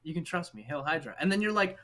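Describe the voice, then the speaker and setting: A man co-hosting a casual movie discussion, talking in an animated, opinionated, emphatic way. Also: fairly high pitch, fast. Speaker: podcast co-host.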